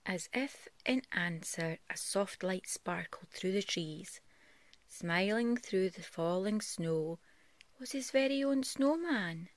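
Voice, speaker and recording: A woman reading a picture book aloud as a parent would, in a soft, gentle storytelling style. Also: mid-range voice, lively intonation, slow pace, no room sound. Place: bedroom, on a bed at home.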